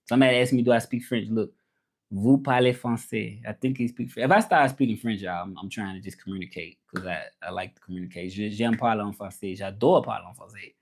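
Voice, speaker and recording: A man from the American South with a Southern accent, chatting casually on a livestream as its host, colloquial and often repeating his phrases. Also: mid-range voice. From southern United States. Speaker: livestream host, language content creator. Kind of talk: casual livestream chat, switching between English and French.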